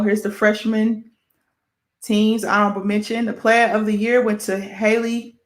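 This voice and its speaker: Female sports host, Midwest American accent, mid-pitched, informal and self-deprecating, careful halting delivery on names, roomy echo. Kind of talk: casual sports show presenting, reading award lists.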